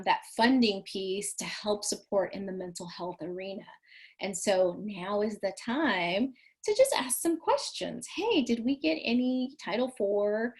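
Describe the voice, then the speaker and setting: Chatty woman with slight rasp. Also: mid-range voice. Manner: chatty, folksy, frequent ums and restarts. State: slightly raspy voice from training. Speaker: counselor trainer leading a webinar. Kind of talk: online training presentation.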